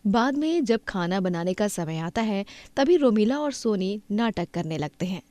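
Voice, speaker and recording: A woman tells a moral cartoon story as its narrator. Her voice is medium in pitch, and the recording is close and dry. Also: lively intonation, measured pace, warm tone, dry recording.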